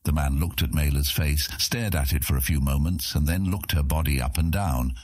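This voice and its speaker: A man's voice: extremely low pitch, normal speed, darkish tone, husky, no room sound, clean studio sound. A man narrating a scripted fantasy audiobook as a storyteller.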